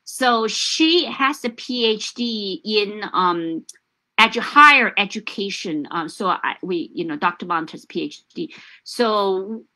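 A middle-aged woman from China, Chinese her first language, talks casually as host of a live chat. Her voice is bright and clear, and she circles back with fillers and restarts. She is heard through a video call from home. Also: medium pitch, animated, slow pace, rough recording. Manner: chatty, many fillers and restarts. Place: home room.

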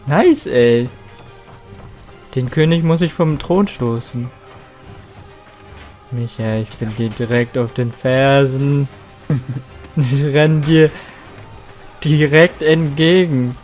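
German gamer dude, talks slow, game music under him, yells when he loses. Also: medium pitch. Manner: loud bursts, swearing and laughing at the game. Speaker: gaming channel host. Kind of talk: casual multiplayer gaming chat.

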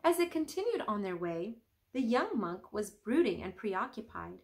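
A young woman reading a children's storybook aloud in an expressive, teacherly way with careful pauses. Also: medium pitch. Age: young adult.

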